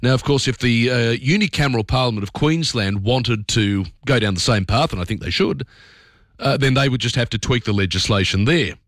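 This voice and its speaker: A middle-aged Australian man hosting talk radio, brisk and opinionated in a conversational way. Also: medium pitch, no echo, clean studio sound. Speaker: radio host. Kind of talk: talk radio commentary and interview.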